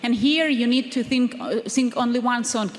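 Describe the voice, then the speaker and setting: A woman interpreting answers into English at a press conference in a steady, measured way. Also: fairly high pitch. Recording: reverberant press hall.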